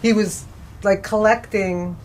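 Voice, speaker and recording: A woman's voice: mid-range voice, steady tone, takes it slowly, a little mellow. A woman in an audience, casually explaining the thinking behind her question in an informal way. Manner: informal, explanatory, self-correcting. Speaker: audience member asking a question. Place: live event in a bookstore. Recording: faint room noise.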